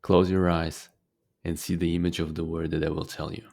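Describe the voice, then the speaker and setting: Very deep-voiced man guiding meditation. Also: gravelly. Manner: calm, deliberate, with frequent pauses. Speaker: guided meditation teacher. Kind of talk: guided meditation narration.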